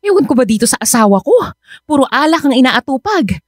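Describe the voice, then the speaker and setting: Worried, exasperated older sister, radio drama, slightly high voice, emphatic complaints, dry studio sound. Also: female voice, very animated, fast talker, dry recording. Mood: fed up, worried. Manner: sighing, complaining, emphatic interjections.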